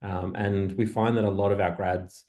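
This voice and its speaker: A man answering an industry question in an online info session, pausing often and restarting. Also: low voice, little pitch movement, darkish tone. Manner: halting, full of ums and restarts. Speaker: industry partnerships staff member.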